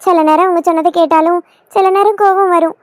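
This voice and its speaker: High-voiced gushing young daughter-in-law, acted by a woman. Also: steady tone, brisk, dry recording, clean studio sound. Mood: devoted, gushing. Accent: southern Tamil Nadu. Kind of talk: Tamil family skit acting.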